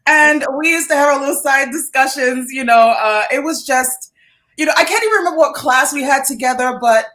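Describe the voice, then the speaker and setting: A middle-aged woman hosting a casual interview, chatty and quick to laugh. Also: high voice, bright, forceful tone. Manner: laughing, chatty, reminiscing with fillers. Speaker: host.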